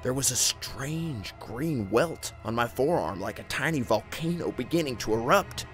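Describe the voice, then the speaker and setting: A man narrating a scripted first-person horror story in an expressive, suspenseful storytelling manner. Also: mid-range voice, normal speed. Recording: music bed under narration. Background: background music.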